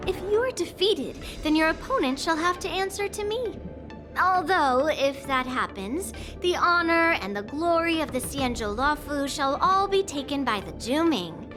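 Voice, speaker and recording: A confident swordmaster mentor teases and reassures her pupil in a high, lightly amused voice. Game music runs underneath. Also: very animated, unhurried. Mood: teasing. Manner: teasing, coaxing and self-assured. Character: confident teasing swordmaster mentor, young woman. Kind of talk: game cutscene voice acting. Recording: game audio with music behind.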